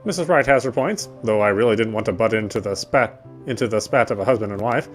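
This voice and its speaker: A man hosting a let's play, reading visual novel narration aloud in an expressive storytelling manner. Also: on the low side, quick pace, faint music behind.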